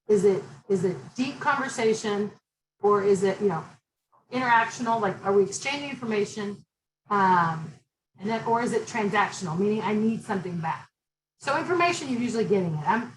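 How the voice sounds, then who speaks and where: A middle-aged woman teaching a college class, talking loudly and directly in a chatty way. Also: medium pitch, echoey room, slight background noise, poor audio. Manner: direct, talkative, loud. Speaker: college instructor. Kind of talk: online class lecture.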